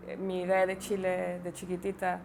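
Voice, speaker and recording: A woman's voice: on the low side, completely flat delivery, moderate pace, vocal fry, darkish tone, a little hiss or hum. A woman in a documentary interview, recalling her childhood hesitantly, with frequent fillers and English phrases slipped into her Spanish.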